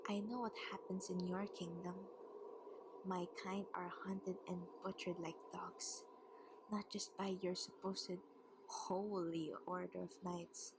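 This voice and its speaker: A woman playing a vengeful, mocking vampire queen who gloats over a captive in a slow, deliberate, taunting ASMR roleplay. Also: on the low side, flat delivery, noisy background. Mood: cold, menacing.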